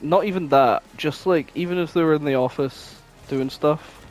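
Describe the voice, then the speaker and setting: A man's voice: fairly high pitch, moderate pace, a crowd in the background. A man co-hosting a podcast in casual, informal chat with plenty of swearing. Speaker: podcast co-host.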